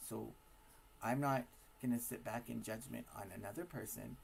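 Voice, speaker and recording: A hoarse man with a raspy voice, giving a casual, emphatic opinion monologue to camera full of rhetorical questions. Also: mid-range voice, moderate pace, a little hiss or hum. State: hoarse from smoking and partying. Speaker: vlogger.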